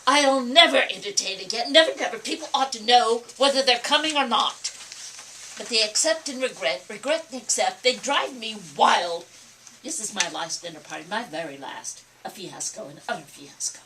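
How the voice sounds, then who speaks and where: A woman's voice: a little high, animated, moderate pace, bright, forceful tone, rough recording. A woman acting an imperious, easily exasperated society hostess and mother in a theatrical, scolding manner at a play table reading. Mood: despairing. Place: library room. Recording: background noise, live room reading.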